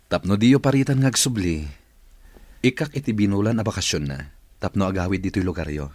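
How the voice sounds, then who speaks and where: A man's voice: on the low side, a little fast, a little mellow, no echo, lightly acted. A man playing a courteous, considerate company boss in an audio drama. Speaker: voice actor. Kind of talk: radio-style drama acting.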